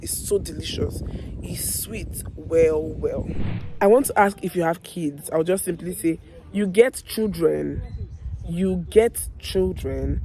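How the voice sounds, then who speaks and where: A woman with a Nigerian accent and a hoarse voice, teaching everyday phrases to viewers with slow, deliberate repetition. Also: lowish voice, darkish tone, a little hiss or hum, low-quality recording. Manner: addresses viewers directly, repeats phrases slowly and deliberately. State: hoarse, losing her voice. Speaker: online language teacher, video host. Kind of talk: language lesson with slow repeated example phrases.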